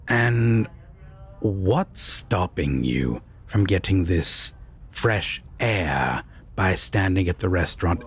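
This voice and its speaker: A man playing a menacing, condescending vampire captor in a roleplay audio drama, talking in a mocking, deliberate way with husky, breathy whispers. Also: low-pitched, full character voice. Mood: sardonic, probing. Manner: slow, deliberate, mocking, formal phrasing.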